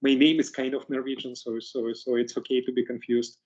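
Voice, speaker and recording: Man with a Russian accent, halting. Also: medium pitch, little pitch movement, darkish tone, highs cut off. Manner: halting, repeats words often. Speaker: keynote speaker, engineering executive. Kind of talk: keynote talk.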